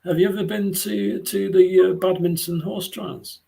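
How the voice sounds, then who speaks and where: A man's voice: sits high, level tone, normal speed, gravelly, mellow. A man competing in a pun contest, amused and chuckling, with hesitant fillers, over a video call. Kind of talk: quick-fire pun banter.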